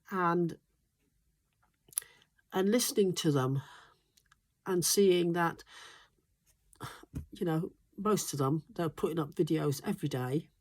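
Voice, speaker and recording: Congested, low-voiced woman rambling casually. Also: darkish tone. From Wales. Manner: rambling, frequent ums and restarts. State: congested from flu. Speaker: smallholding vlogger. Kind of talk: casual chatty monologue.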